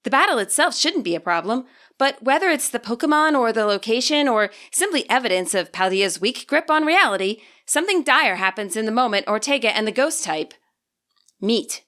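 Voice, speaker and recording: A woman reading her own fan fiction aloud as an audiobook, with expressive storytelling. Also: a little high, fairly quick. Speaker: fanfiction author reading her podfic. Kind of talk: audiobook narration.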